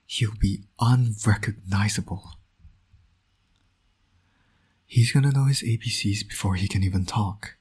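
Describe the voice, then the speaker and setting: A man acts out a roguish male supervillain who is also a doting dad. The voice is very husky and breathy, sitting a little low. He speaks in a wry, flirtatious, teasing way, as in an intimate audio drama. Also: darkish tone. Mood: mischievous. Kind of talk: intimate scripted audio roleplay.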